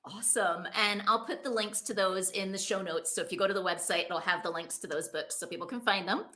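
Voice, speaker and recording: Bright-voiced, enthusiastic woman podcast host. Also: medium pitch, brisk. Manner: enthusiastic, encouraging. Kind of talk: conversational interviewing.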